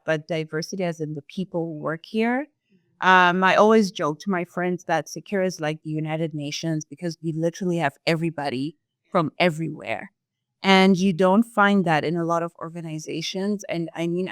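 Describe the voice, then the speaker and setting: A young woman from Zimbabwe, a sales employee answering interview questions in a thoughtful, measured way, with some fillers. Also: fairly low pitch, smooth, no echo, clean studio sound.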